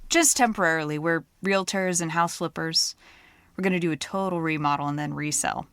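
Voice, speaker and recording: An actress playing a blunt, wry, businesslike realtor and house flipper in a screenplay reading. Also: female voice, low-pitched, a little fast, a bit bright, clean tone, dry recording, studio quality. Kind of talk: screenplay table-read acting.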